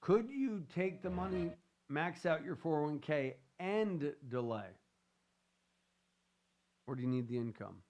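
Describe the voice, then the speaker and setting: A man's voice: on the high side, animated, a little slow, pauses often, darkish tone. Joking male radio show host. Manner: loose, rambling, joking with co-hosts. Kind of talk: casual on-air banter and show promos.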